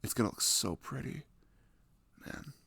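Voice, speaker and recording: A man's voice: measured pace, sharp tone, no echo. A young adult man chatting casually and enthusiastically about video games in a rambling, slangy way, close to the microphone.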